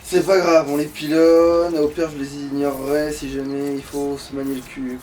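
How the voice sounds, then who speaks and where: Man, high-pitched voice, casual let's play chat, sweary grumbling, distant from the mic, faint noise. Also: level tone, normal speed, darkish tone. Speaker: let's player playing the game. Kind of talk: casual gaming chat, live play commentary.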